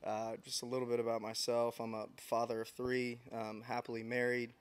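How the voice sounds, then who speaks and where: A man in his thirties from San Diego, a podcast guest talking in a reflective, unhurried way with frequent restarts. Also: mid-range voice, completely flat delivery, clean tone. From San Diego, United States. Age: 30s. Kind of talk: casual interview chat.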